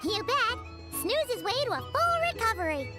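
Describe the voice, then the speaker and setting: A woman voicing a tiny, excitable and cheeky fairy companion with a childlike manner in a video game. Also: very high voice, normal speed. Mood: cheerful, supportive. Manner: refers to self in third person, gushing exclamations. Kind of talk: video game character acting. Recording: game dialogue over loud tinkling music. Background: loud playful game music.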